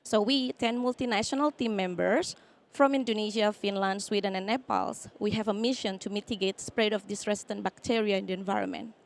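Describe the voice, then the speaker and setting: Woman doing a calm company pitch on stage, explains things step by step. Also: fairly quick. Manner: steady, explanatory, with fillers. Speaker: startup founder pitching. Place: outdoor stage.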